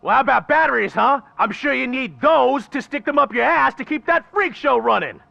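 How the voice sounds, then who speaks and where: A man's voice: very high-pitched, animated, a little fast, creaky, dry recording, lo-fi sound, heavily acted. A man playing a foul-mouthed gang thug who curses and threatens while holding a hostage. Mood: threatening. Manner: aggressive, cursing, threatening.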